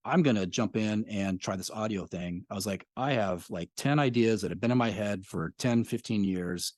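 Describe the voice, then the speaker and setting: A man's voice: low-pitched, breathy edge, no room sound, clean studio sound. A man in his fifties, a podcast creator talking as a guest in a casual interview, rambling with many fillers.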